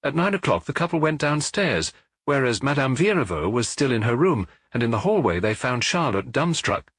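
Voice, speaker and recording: A man reading an audiobook, voicing a middle-aged male police officer who gives his report in a measured, matter-of-fact way. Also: mid-range voice, very animated, fairly bright tone, breathy edge, no room sound, heavily acted. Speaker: audiobook reader.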